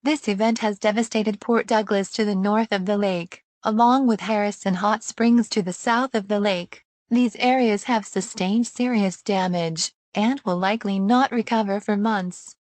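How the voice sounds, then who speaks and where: A woman anchors a scripted news bulletin. Her voice is mid-pitched, close and free of echo, and her reporting is formal and measured. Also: fairly even intonation, darkish tone.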